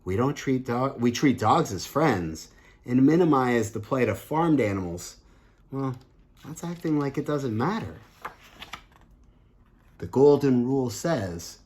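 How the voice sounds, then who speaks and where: A man's voice: mid-range voice, darkish tone. A man reading a picture book aloud as a teacher, earnest and emphatic, with explanatory asides to young listeners. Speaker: teacher and host of a children's read-aloud show.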